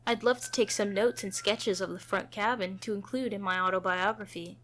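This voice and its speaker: A woman's voice: medium pitch, fairly even intonation, normal speed, fairly bright tone, slight background noise. A woman reading a visual novel aloud, moving between narration and character dialogue, with music playing behind her. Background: background music.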